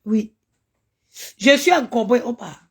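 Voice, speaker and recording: A woman's voice: a little high, expressive, slow pace, slightly rough. A woman from Cameroon, ranting indignantly on a live stream, stammering and chanting invocations. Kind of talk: impassioned live-stream rant.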